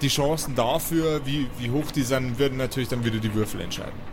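A man's voice: medium pitch, steady tone, fast. A man narrating an improvised tabletop role-playing game as the game master. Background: background music.

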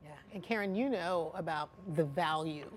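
A woman's voice: fairly low pitch, moderate pace, slight background noise, rough recording. A woman hosting a conversational interview, asking questions and prompting her guests. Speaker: interview host.

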